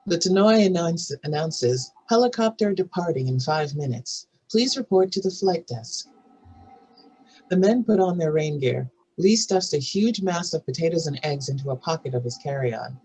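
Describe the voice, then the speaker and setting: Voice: mid-range voice, animated, normal speed, a little mellow, a little hiss or hum, low-quality recording. A narrator reading out stage directions and scene headings in a scripted table read.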